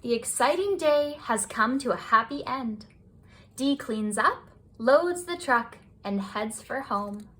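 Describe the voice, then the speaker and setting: A woman reading a children's picture book aloud as scripted bedtime narration, with expressive, deliberate phrasing. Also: on the high side. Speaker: children's storybook reader.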